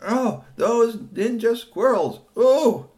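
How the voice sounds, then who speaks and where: A man's voice: deep voice, expressive, a little slow, bright, forceful tone, far from the mic, heavily acted. A man voicing an indignant, outspoken woman in a children's story, exclaiming and scolding. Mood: dismayed.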